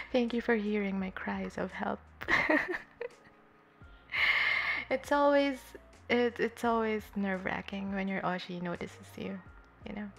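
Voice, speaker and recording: Slow, gravelly young woman streamer. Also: mid-range voice, a bit bright, faint music behind. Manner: giggly, rambling with many fillers. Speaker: streamer drawing live. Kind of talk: casual chat while drawing. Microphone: desktop USB microphone.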